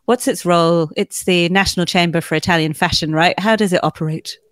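A woman with an Australian accent hosting a podcast in a bright, chatty way. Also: lowish voice, fairly quick, dry recording, clean studio sound. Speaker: podcast host.

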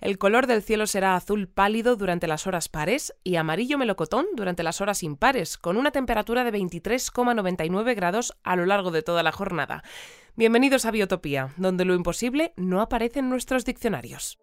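A woman playing a composed presenter of a futuristic community radio bulletin, speaking in a formal, measured newsreader manner. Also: mid-range voice, lively intonation, smooth, dry recording, clean studio sound, lightly acted. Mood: composed, welcoming. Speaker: news presenter. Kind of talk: scripted radio news bulletin.

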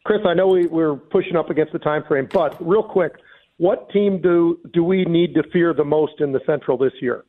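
A man's voice: on the high side, moderate pace, narrow, tinny audio. A man co-hosting a sports radio show, asking questions in a casual live style. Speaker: radio co-host.

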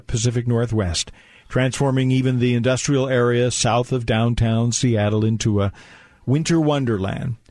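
A man serves as announcer and narrator of an old-time radio drama. His voice is medium in pitch, with a scripted broadcast delivery and very little room sound. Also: a little mellow, dry recording, studio quality.

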